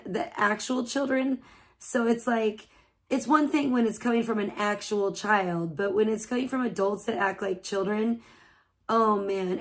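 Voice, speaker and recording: A woman in her thirties streaming live from home, chatting loosely with viewers, slightly hoarse and swearing freely between laughs. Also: medium pitch. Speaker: livestreamer.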